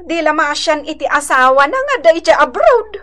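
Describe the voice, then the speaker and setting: Nosy Ilocano gossip sidekick for radio drama: animated, bright, conspiratorial. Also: female voice, sits high, normal speed. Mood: teasing, gossipy. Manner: teasing, exclaiming, conspiratorial. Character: nosy, teasing gossip companion, village woman.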